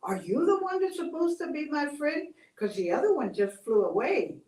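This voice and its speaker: Woman storyteller doing a sad, begging he-cactus for a storybook, high and wavering, heard from a distance in a roomy space. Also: big pitch swings, normal speed, darkish tone. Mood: pleading. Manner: high, tearful, pleading. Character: lonely pleading male cactus.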